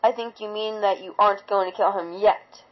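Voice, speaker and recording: A woman voicing a cruel, all-knowing princess mare in a storybook reading, slow and imperious. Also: mid-range voice, fairly even intonation, lo-fi sound, pitched below the performer's own voice, natural voice. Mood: cold, commanding.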